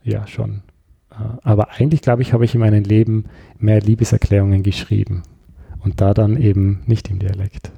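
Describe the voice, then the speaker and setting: A man's voice: lowish voice, level tone, moderate pace, gravelly, warm tone, husky, muffled recording. A man with an Austrian accent chatting casually as an interview guest, thinking aloud and often searching for his words. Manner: hesitant, reflective, searching for words.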